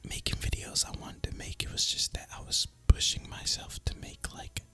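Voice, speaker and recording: Male ASMR gaming creator: breathy whisper throughout, crisp sibilant edge, relaxed and rambling delivery. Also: normal speed, faint music behind. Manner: relaxed, rambling, casual and reflective. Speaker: video creator and gamer.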